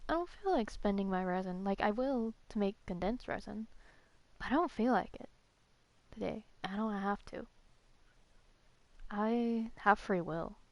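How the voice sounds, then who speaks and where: A woman's voice: mid-range voice, measured pace, long pauses, no echo. A woman streaming a game, chatting casually in a rambling, informal way. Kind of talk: casual live stream chat. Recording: clear music behind voice. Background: music.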